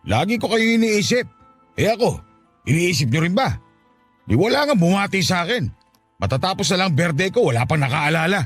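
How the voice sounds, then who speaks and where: Casting: man as sulky, tipsy dad for radio drama; high, animated voice, self-pitying and combative. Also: fairly quick, full character voice. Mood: sulky, defensive. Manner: sulky, argumentative. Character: tipsy, self-pitying, defensive father of a poor family. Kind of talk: Tagalog radio drama acting. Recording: music behind the voice. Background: music.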